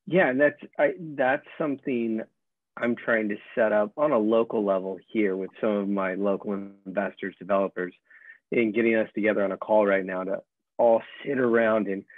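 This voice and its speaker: A man hosting a casual interview, speaking informally and a little haltingly over thin call audio. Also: fairly low pitch, steady tone, no room sound, phone-quality sound. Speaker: interviewer, host. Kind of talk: casual conversation.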